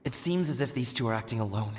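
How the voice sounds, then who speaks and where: A man's voice: mid-range voice, expressive, fast, a little mellow, a little hiss or hum, close to an everyday voice. Earnest young male escort guard played by a man. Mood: determined. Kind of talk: video game voice acting.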